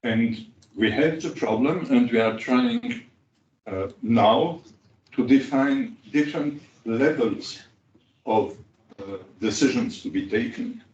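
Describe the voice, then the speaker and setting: A man speaking as a cooperative practitioner on a panel, pausing often with fillers. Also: mid-range voice, expressive, darkish tone. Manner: halting, many pauses and fillers. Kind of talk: panel discussion remarks. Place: large conference hall. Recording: echoey hall, distant dull mic.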